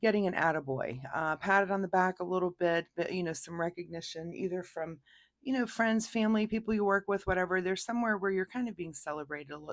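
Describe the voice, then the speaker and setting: A woman with a hoarse voice from laryngitis, giving a chatty, rambling tarot reading to her viewers. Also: on the low side. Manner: chatty, rambling, laughs easily. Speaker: tarot reader. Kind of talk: casual tarot reading to camera.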